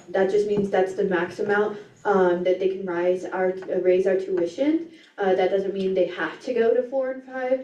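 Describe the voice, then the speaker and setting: A young woman gives a casual report, reading notes with ums and slips in a mellow tone. She is picked up from a distance in an echoey room. The sound is dull and lo-fi. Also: medium pitch. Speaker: student government officer giving legislative report. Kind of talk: meeting report.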